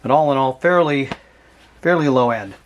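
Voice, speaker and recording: A man casually demonstrating products without a script, speaking in an offhand, halting and hedging way. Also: mid-range voice, lo-fi sound. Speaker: host of a vintage radio review channel.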